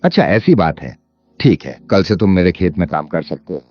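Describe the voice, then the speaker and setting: A very deep-voiced, cunning landlord from a cartoon moral tale, acted by a man. He is greedy and speaks with sly emphasis. The sound is slightly dull, with music underneath. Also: sing-song, moderate pace. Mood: probing. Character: cunning, greedy village landlord, scheming and falsely wronged. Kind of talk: animated moral story character acting.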